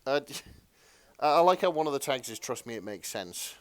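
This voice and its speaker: A man co-hosting a casual podcast, talking in a halting, slightly hesitant way. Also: mid-range voice, very animated, dry recording. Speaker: podcast co-host.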